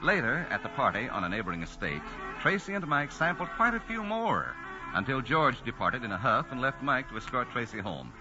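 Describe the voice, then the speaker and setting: Animated vintage radio announcer, man. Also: medium pitch, a little fast. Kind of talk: 1940s radio announcing, scripted narration. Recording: dull vintage broadcast with music.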